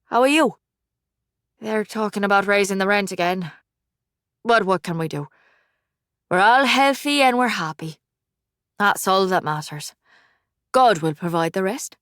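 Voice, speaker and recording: A woman's voice: mid-range voice, lively intonation, fairly bright tone, smooth, no room sound, clean studio sound. A woman voicing a worried, doting mother who fusses over her son. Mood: fond. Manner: anxious, doting, quick to worry. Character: fretful loving mother, older woman.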